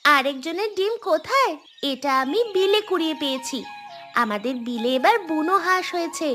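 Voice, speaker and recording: Woman doing a bossy mischievous little village sister, super high bright voice, audio drama. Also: animated, soft background music. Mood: sly, eager. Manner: scolding, quick to make excuses. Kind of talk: acted audio story dialogue.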